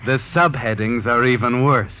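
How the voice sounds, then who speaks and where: An actor playing a sardonic, all-knowing narrator of a 1940s radio mystery, taunting the heroine with dramatic needling questions. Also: male voice, medium pitch, fairly even intonation, normal speed, lo-fi sound, a little hiss or hum, natural voice. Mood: ominous, needling. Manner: dramatic second-person address, needling rhetorical questions. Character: sardonic, omniscient male narrator taunting the story's guilty heroine. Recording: vintage 1940s radio with music behind.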